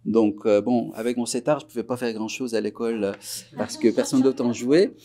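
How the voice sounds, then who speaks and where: Mellow, gravelly man born in Iran. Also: medium pitch, steady tone. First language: Persian. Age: 40s. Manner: unhurried, reflective, pauses often to search for words. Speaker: musician guest. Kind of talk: relaxed interview recollection.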